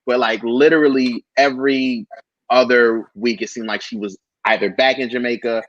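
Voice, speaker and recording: A man from Buffalo, New York co-hosting a casual podcast chat, playful and slangy with quick reactions. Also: medium pitch, steady tone, dry recording, muffled recording. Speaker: podcast co-host.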